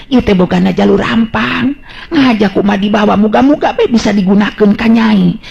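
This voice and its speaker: Man voicing a creaky, husky older Sundanese village woman. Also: high-pitched, darkish tone, pitched above the performer's own voice, heavily acted. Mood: hushed, unsure. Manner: hesitant, muttering, repeating words. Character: kindly, anxious older village woman servant muttering to herself. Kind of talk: Sundanese radio storytelling drama. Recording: dull lo-fi radio-style recording.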